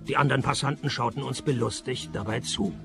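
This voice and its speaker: An actor playing a sardonic, down-at-heel private detective who narrates and talks in a deadpan manner in a radio play. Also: male voice, mid-range voice, fairly quick, vocal fry, music in the background, close to an everyday voice.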